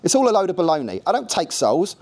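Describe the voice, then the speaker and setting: A man's voice: sits high, wildly swooping pitch, quick pace, darkish tone, pitched above the performer's own voice, exaggerated voice. A man voicing a cheeky, laid-back male afterlife worker with a Cockney accent tinged with West Country, chirpy and matter-of-fact. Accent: Cockney with a hint of West Country.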